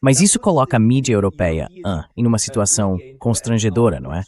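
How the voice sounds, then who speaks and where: A man's voice: on the low side, expressive, quick pace, darkish tone. A man's synthetic voice dubbing the host of a live discussion in translation. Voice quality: synthetic dubbed voice. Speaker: interview host.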